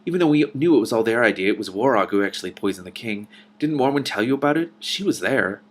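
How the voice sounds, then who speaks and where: A man's voice: on the low side, lively intonation, fast talker. A man voicing a frank, worried young woman who explains things matter-of-factly in a storybook read-aloud.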